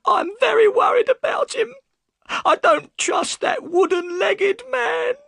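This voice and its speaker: A man's voice: extremely high pitch, slow pace, creaky, fairly bright tone, dry recording, voice pushed up, exaggerated voice. A man voicing a worried, upset boatman's wife, plaintive and deferential, in an audiobook story. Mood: tearful, distraught.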